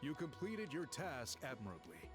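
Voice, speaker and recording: Wise polite chief in a game, formal but very expressive, music behind him. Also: male voice, medium pitch. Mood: solemn. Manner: formal, measured, thoughtful. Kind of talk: video game voice acting.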